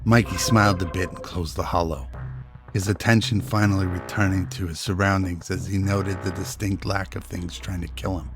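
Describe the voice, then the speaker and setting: A man's voice: sits low, fairly even intonation, moderate pace, over music. A man reading scripted narration for an action-filled radio drama as its storyteller.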